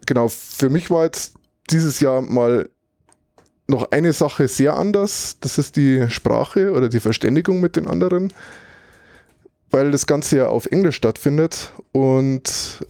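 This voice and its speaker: Mellow-voiced German man, dry and close. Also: mid-range voice, no echo. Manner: thoughtful, rambling, searches for words mid-sentence. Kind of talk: casual conversational recap.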